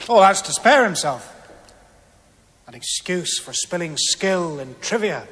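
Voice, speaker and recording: A man's voice: high voice, big pitch swings, a little slow, a bit bright, heavily acted. An actor playing a stern, disapproving man in a television play, speaking with formal reproach. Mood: disdainful. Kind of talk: television stage-play acting. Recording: echoey hall with long reverb.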